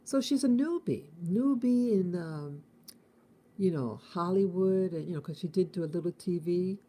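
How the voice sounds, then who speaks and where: A woman in her fifties from the United States, hosting a casual morning reflection, thinking aloud with halting restarts and repeated words. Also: lowish voice, dark tone. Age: 50s. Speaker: host.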